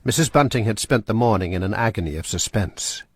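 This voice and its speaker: A man narrating a suspenseful period story in a measured, scripted way. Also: fairly low pitch, lively intonation, noisy background.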